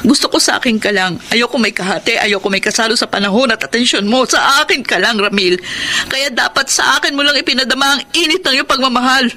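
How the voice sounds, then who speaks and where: High-voiced, heartbroken Filipina wife. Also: female voice, fast, bright, forceful tone. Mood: jealous, hurt. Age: adult. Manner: emotional, dramatic, aching delivery. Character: heartbroken, jealous, bitter betrayed wife and mother. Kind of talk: Filipino radio drama acting. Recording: prominent music under the voice.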